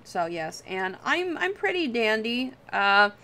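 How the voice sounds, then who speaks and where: A woman's voice: on the high side, sing-song, slow pace, pushed and bright. A woman livestreamer chatting casually while she draws, rambling and self-deprecating, with casual swearing. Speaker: livestream host.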